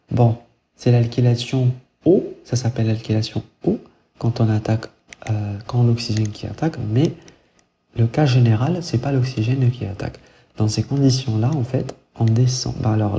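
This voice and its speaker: Dark-voiced man teaching organic chemistry. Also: medium pitch. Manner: thinks aloud with many fillers and restarts, checks in with "ok?". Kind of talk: whiteboard lecture. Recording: muffled lo-fi sound with faint hiss.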